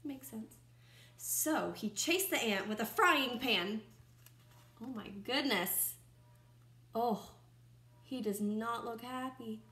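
A woman in her twenties reads a picture book aloud like a teacher to young children, expressively, pausing to comment and ask questions. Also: on the high side, sharp tone, a little hiss or hum. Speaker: first-grade teacher reading aloud. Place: small room at home, indoors by a window. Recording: roomy, echoing home recording.

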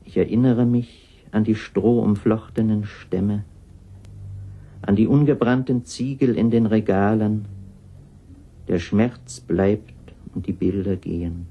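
A man's voice: fairly low pitch, steady tone, takes it slowly, breathy edge, heavily acted. A man giving a solemn, reflective reading of literary prose for radio, slightly muffled. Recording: slightly dull, muffled sound.

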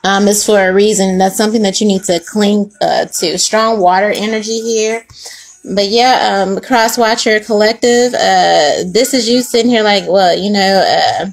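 A woman's voice: mid-range voice, moderate pace, vocal fry. Woman doing a tarot reading, loose and slangy, cussing and laughing, talking to the camera up close. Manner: chatty, slangy, swearing, laughing asides. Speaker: tarot reader. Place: indoors at a table with cards.

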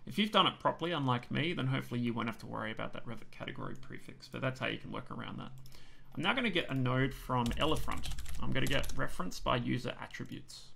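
A man with an Australian accent hosting a software tutorial, explaining steps in a relaxed, chatty way with frequent ums while losing his voice. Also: medium pitch, fast, creaky, slight background noise. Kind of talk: screen-recorded software tutorial.

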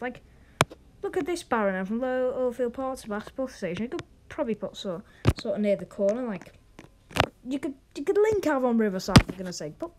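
Casting: chatty, opinionated game vlogger, mid-pitched with lively swings, casual rambling delivery, noisy recording with clicks. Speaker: video host. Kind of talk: casual gaming vlog commentary.

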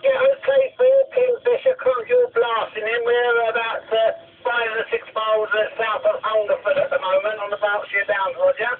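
A man's voice: sits high, animated, moderate pace, few pauses. A man working as net controller on a CB radio, calling stations in radio chatter. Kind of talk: radio call-sign chatter. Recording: noisy, tinny phone-like radio transmission.

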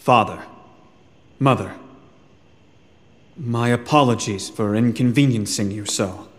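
Casting: man as calculating young noble son, formal, unhurried, reverberant hall, game cutscene. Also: medium pitch, natural voice. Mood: respectful. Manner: formal, composed, deliberate. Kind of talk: English video game cutscene dub. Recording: long hall reverb, faint music.

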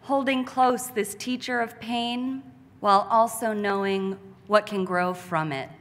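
A woman in her thirties speaks at a podium in a large church sanctuary, delivering a commencement speech in a slow, deliberate and heartfelt way. Her voice is bright and forward. The podium mic carries a long, lingering hall reverb. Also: mid-range voice. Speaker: graduating student speaker. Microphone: podium mic, far camera.

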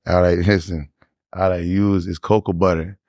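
A man with a Toronto accent, a podcast guest telling his story in a laid-back, animated way with slang asides. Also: low-pitched, normal speed, no echo. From Canada. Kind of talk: casual interview chat.

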